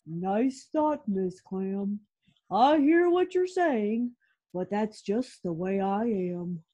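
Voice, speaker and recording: A woman's voice: medium pitch, animated, slow, warm tone, breathy edge, pitched below the performer's own voice. A woman voicing a sulky, pouty male fish while reading a picture book aloud. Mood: glum.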